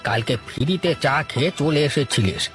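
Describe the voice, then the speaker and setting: A stern village tea seller played by a male actor in a cartoon, with a mid-pitched voice. He grumbles, scolds and threatens, with faint music behind. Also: expressive, normal speed, close to an everyday voice. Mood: grumbling, threatening. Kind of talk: cartoon dialogue acting.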